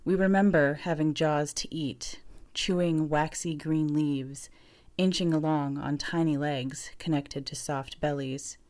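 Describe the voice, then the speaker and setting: A woman's voice: low voice, fairly even intonation, measured pace, a little mellow. A woman giving a scripted reading of lyrical, literary prose. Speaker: reader of a literary piece.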